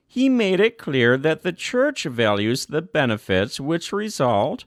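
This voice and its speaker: A man reads a formal written text as a narrator, in a measured and scripted way. His middle-pitched voice moves animatedly up and down across phrases. The sound is dry, with no sense of room around it. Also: a bit bright, no echo. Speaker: audiobook narrator. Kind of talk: scripted narration, reading a formal document aloud.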